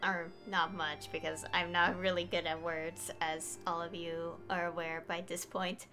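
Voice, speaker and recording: A woman's voice: on the low side, level tone, unhurried. A woman streaming a game, giving casual commentary in a hesitant, rambling way that often trails off. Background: game music.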